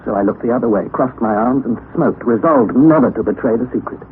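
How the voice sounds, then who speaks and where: A young actor playing a wry, anxious nephew who narrates the story, in a 1960s radio drama on a tinny, lo-fi broadcast recording. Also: male voice, mid-range voice, a little fast, slight background noise, natural voice. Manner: earnest storytelling, rising to excited outbursts.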